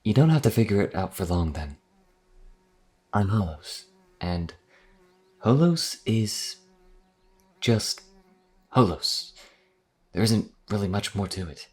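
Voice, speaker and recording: A man's voice: lively intonation, slow, pauses often, darkish tone, heavily acted. A man playing a modest, earnest vampire wanderer in a fantasy audio roleplay, explaining things in a hesitant, conversational way. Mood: wry, plain. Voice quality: deep, husky.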